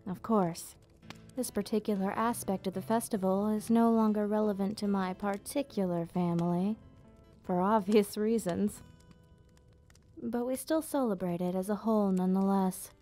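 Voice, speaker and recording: Woman acting as nostalgic female vampire, ASMR storytelling, soft and mellow, medium pitch, gently formal, faint music behind. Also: fairly even intonation, close to an everyday voice. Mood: gentle, explaining. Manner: gentle, unhurried explaining, slightly formal wording. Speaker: ASMR roleplay performer. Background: background music.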